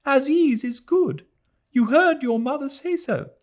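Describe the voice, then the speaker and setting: A man voicing a distraught, remorseful young Englishwoman, high and pleading. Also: expressive, a little slow. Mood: anguished pleading.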